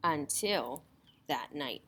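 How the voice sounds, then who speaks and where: A woman's voice: low-pitched, expressive, takes it slowly, fairly bright tone, slight background noise. A woman storyteller reading a children's story aloud in a dramatic style.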